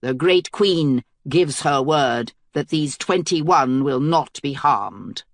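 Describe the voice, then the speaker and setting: A woman reading aloud, voicing a formal male herald who delivers an enemy queen's terms slowly. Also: low voice, expressive, no room sound, studio quality, pitched below the performer's own voice, heavily acted. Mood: pompous. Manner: slow, pompous proclamation.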